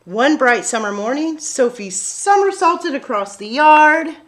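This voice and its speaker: Slow, animated woman reading a children's story. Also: high-pitched. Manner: deliberate, dramatic phrasing for children. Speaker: children's story-time reader. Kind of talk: expressive picture-book read-aloud narration.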